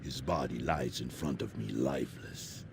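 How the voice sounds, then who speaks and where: A man voicing a grave old alien sage in a fantasy video game, gravelly, solemn and formal. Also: normal speed, noisy background. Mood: deferential, pleading. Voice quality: very deep, gravelly. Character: grave, deep-voiced old alien sage leading a secret rebellion.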